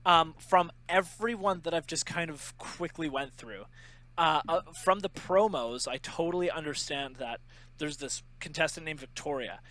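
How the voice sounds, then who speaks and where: A man co-hosting a casual podcast with a bright, forceful voice that sits a little high for a man. He jokes and teases sarcastically as he talks through picks. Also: normal speed, poor audio. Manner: joking, sarcastic, animated. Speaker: podcast co-host. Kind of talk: casual chat.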